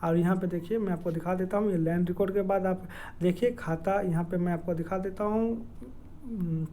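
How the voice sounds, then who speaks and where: A man with a Jharkhand accent giving an informal explainer in a halting, hesitant way, with many filled pauses and repeated words. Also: high-pitched, steady tone, dark tone, slight background noise. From Dumka district, Jharkhand, India. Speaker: educational video creator. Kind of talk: informal explainer with screen walkthrough.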